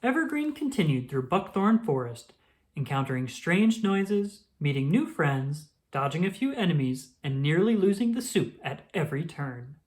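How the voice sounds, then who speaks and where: A woman reading a children's book aloud as an expressive storyteller. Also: deep voice, normal speed.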